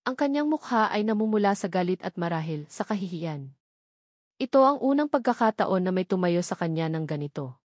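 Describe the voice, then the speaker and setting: A woman's voice: on the low side, big pitch swings, fairly quick, darkish tone, no room sound, clean studio sound. A woman with a Filipino accent giving a dramatic scripted narration of a romance story.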